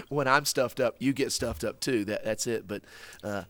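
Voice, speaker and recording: A man's voice: mid-range voice, unhurried, a bit bright, slight background noise. A man hosting a kids' ministry skit, stammering and repeating words while he patiently explains things. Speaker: children's ministry host. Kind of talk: scripted comic skit for kids.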